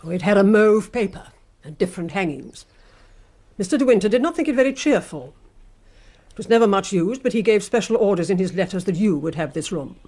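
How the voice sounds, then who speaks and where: Actress as a severe, formal English housekeeper. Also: female voice, medium pitch, expressive, a little mellow, natural voice. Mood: reserved, pointed. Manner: formal, measured, icily polite. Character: gaunt, severe older housekeeper, coldly formal and deferential. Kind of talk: radio drama acting.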